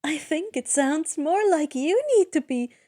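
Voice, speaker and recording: A fearless teenage demigod girl, read by a woman storyteller. Her voice is on the high side, and she answers with biting confidence and a sharp tongue. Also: expressive, measured pace. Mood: venomous.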